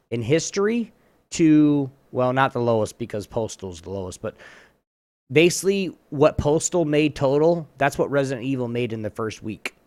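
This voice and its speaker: A man's voice: mid-range voice, no echo. A man casually hosting a trivia round on a live show, rambling and often rephrasing himself.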